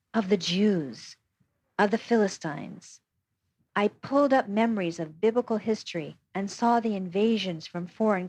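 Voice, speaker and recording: A woman reading a first-person memoir aloud as scripted narration, steady and even. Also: mid-range voice. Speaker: audiobook reader.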